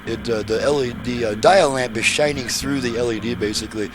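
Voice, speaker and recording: A man giving a casual, halting demonstration as a repairer, thinking aloud as he goes. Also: medium pitch, background noise. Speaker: radio repairer demonstrating his work.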